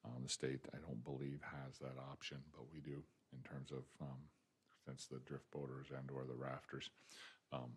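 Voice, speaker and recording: A man with an extremely deep voice gives a presentation at a city advisory board meeting. He talks in an even, flat tone and often pauses with ums and restarts his sentences. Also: breathy edge. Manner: plain-spoken, frequent ums and restarts. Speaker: parks and recreation director presenting to a board. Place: city council meeting room.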